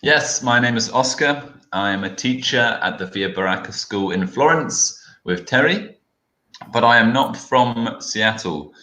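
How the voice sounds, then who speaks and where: A man from the south of England with a British accent, co-teaching an online English class and trading wry, deadpan jokes over a video call. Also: mid-range voice, measured pace, echoey room, low-quality recording. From Hastings, South England. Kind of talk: live online lesson banter.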